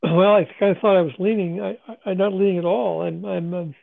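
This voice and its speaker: A man with a hoarse, worn-out voice chatting casually as a podcast host, halting and restarting as he talks. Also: sits high, steady tone. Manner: halting, many restarts and fillers. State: hoarse, losing his voice. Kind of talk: casual chat. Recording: phone-quality call line.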